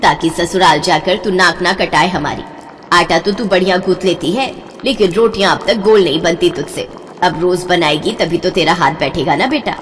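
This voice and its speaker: A woman's voice: fairly low pitch, moderate pace, fairly bright tone, big-room sound, a little hiss or hum, pitched below the performer's own voice, natural voice. A woman voicing a practical, exasperated middle-aged mother in a storybook, scolding and world-weary. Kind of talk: audio storybook acting.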